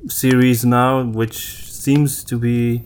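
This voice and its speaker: A man's voice: medium pitch, flat delivery, slow, a little mellow, a little hiss or hum. A man co-hosting a podcast in a casual, reflective discussion, hesitating and rephrasing as he goes. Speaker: podcast co-host.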